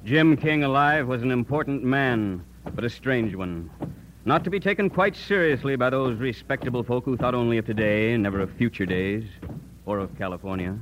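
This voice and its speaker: An actor playing a stern, righteous vigilante commander who narrates and orates solemnly in an old radio drama. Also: male voice, medium pitch, animated, normal speed, close to an everyday voice. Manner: declamatory, solemn. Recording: noisy old radio recording.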